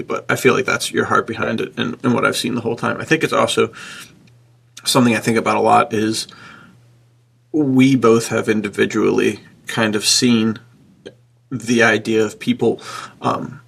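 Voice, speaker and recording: A man in casual, reflective conversation as a podcast co-host, thinking aloud informally in a gravelly, husky voice. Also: on the low side, flat delivery, normal speed, fairly bright tone.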